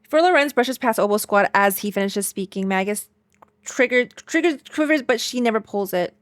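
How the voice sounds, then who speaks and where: A woman's voice: a little high, expressive, fairly quick, no room sound. A woman streamer reacting to a video game in a casual, informal and sarcastic way, sometimes reading text aloud.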